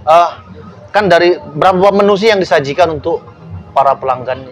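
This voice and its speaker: Chatty man hosting a food tasting, with music behind. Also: a little high. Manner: chatty, joking, lots of quick backchannel. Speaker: food show host. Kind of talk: casual food-tasting interview.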